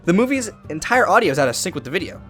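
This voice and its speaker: A man's voice: high voice, big pitch swings, very fast, over music. A young man narrating a mocking comedic review of a bad movie. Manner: sarcastic, mocking. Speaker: video reviewer.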